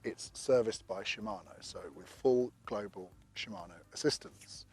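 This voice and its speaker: A man's voice: on the low side, moderate pace, darkish tone, husky. A man who is losing his voice, chatting casually through a bike review to camera with rambling asides.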